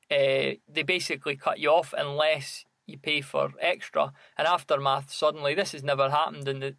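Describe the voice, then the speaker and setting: A man's voice: fairly high pitch, creaky, a bit bright, no room sound. A man co-hosting a podcast in casual, conversational chat. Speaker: podcast co-host.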